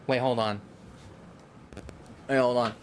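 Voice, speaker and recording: A man's voice: mid-range voice, steady tone, slow, heavy background noise. A young man with an enthusiastic, easily delighted manner, giving casual live commentary as he plays a game.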